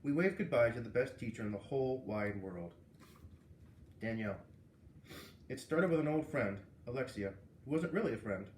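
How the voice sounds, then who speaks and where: A man narrating a children's book aloud in an expressive storytelling style. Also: mid-range voice, normal speed, pauses often, reverberant, a little hiss or hum.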